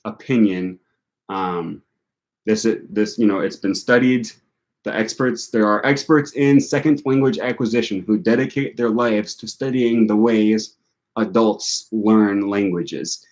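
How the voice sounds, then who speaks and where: Low-voiced young American man musing on language learning. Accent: Northern US. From United States. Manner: rambling, self-correcting, many fillers. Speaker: language learning channel host. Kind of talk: casual answer to viewer questions.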